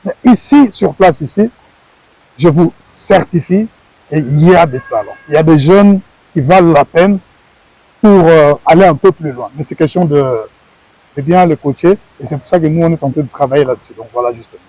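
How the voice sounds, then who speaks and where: A man's voice: fairly high pitch, moderate pace, dark tone, no room sound, poor audio. A middle-aged man from Congo, a former wrestler, answering interview questions earnestly and often repeating words as he searches for phrasing.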